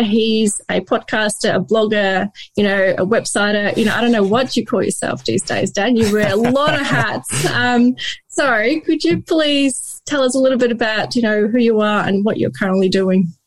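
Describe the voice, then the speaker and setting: A woman's voice: fairly high pitch, moderate pace, dry recording. A woman hosting an online session, talking in an upbeat, encouraging way. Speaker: host of a thesis support session.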